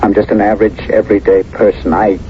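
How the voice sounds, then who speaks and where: A man's voice: lowish voice, level tone, quick pace, natural voice. An actor playing an anguished, fearful ordinary man who survived a war, agitated and hesitant, in 1970s radio drama style. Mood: desperate, defensive. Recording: muffled, noisy old radio broadcast.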